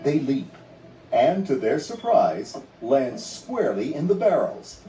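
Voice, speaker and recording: Mellow male storybook narrator, lively delivery. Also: mid-range voice, slow pace. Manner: dramatic storytelling. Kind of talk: scripted storybook read-along narration. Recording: roomy, distant sound under music.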